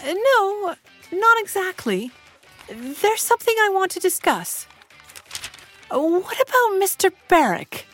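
A woman's voice: very high voice, big pitch swings, a little slow, a bit bright, soft background music, full character voice. An actress playing a polite, proper, determined middle-aged mother in an audio drama, speaking with prim formality. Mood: polite, flustered.

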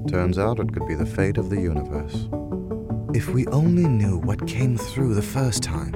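Deep-voiced, eager bookish man. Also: big pitch swings, normal speed. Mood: urgent wonder. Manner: earnest, explanatory. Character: eager, gentle bookish man explaining theories. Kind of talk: audio drama acting. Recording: studio radio play with music underneath.

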